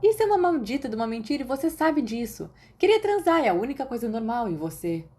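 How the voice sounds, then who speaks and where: Woman voicing a blunt, teasing young man, pitched up. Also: very animated, fast talker, warm tone, breathy edge. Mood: scolding. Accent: Brazilian.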